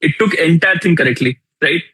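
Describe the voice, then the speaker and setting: A young man with an Indian accent teaches a live workshop with a lot of energy. He repeats his key lines and keeps asking the audience questions. His voice sits slightly high and has a husky edge. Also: brisk, sharp tone. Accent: Indian English. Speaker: workshop instructor. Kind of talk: live online tool demonstration.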